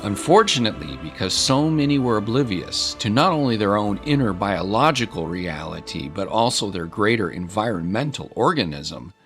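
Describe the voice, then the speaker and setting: A man's voice: sits low, a bit bright. A man reading scripted story narration as the narrator of an audio drama. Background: music.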